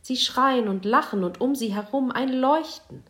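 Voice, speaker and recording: A woman narrating a children's Bible story expressively, moving between hushed and exclaiming. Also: high-pitched, measured pace.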